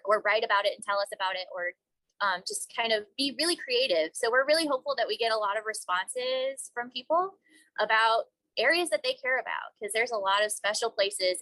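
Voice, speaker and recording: A woman's voice: mid-range voice, pushed and bright, no echo, low-quality recording. A woman park ranger and educator answering interview questions in an upbeat way, hedging with small fillers.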